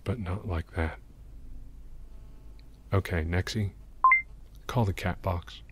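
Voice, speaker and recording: A man acting a weary, reflective old painter who narrates an audio drama gravely and with measured words. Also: low voice, steady tone, very noisy. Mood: resigned, wry. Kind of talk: scripted first-person narration, audio drama.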